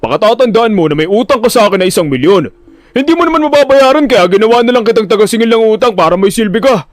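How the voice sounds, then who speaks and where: A man voicing a gruff, threatening loan shark boss in a Filipino audio drama, barking his demands. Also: high voice, lively intonation, quick pace, no echo, pitched above the performer's own voice. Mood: angry, menacing.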